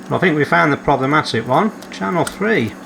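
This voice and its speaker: A man's voice: on the high side, lively intonation, fairly quick, gravelly, noisy background. A man hosting a repair video, methodically talking through his workshop fault-finding and reading out measurements as he goes. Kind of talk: calm narrated workshop repair. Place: home workshop.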